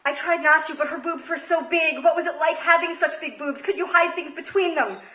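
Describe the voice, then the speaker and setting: A high-pitched American woman in her thirties performs comedic storytelling live. Her voice is bright and forceful, with dramatic emphasis and pauses. It is recorded in a roomy, echoing space. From Queens, New York, United States. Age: 30s. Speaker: comedy storyteller, show host. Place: live comedy stage before an audience. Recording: roomy live stage recording, faint noise.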